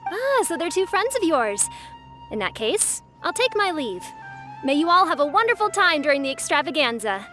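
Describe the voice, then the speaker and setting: A graceful, courteous young lady from a video game. Her high voice lilts up and down politely over soft music. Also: sing-song, moderate pace, heavily acted.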